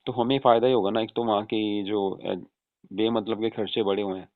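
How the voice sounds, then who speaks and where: A man playing an easygoing adult son reassuring his mother in an informal, chatty phone conversation, with a creaky voice on a tinny call recording. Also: lowish voice, little pitch movement, fairly quick, dry recording, close to an everyday voice. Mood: casual, persuading. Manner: informal, chatty, often seeking agreement with tag questions. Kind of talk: staged casual phone conversation in Hindi.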